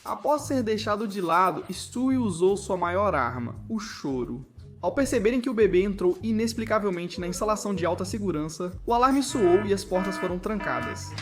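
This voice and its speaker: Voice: medium pitch, expressive, moderate pace. A Brazilian narrator reading a scripted cartoon video essay. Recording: music behind the voice. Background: background music.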